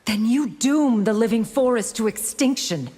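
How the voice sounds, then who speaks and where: An actress playing a determined, distrustful young warrior daughter in a fighting game, earnest and insistent. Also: female voice, a little high, expressive, moderate pace, warm tone, in a large hall, faint music behind, lightly acted. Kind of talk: video game fighter dialogue.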